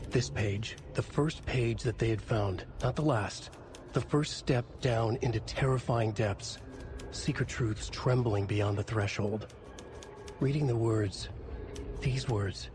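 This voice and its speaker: An actor playing a brooding novelist narrating a noir thriller, deliberate and ominous, with dramatic pauses. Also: male voice, medium pitch, lightly acted.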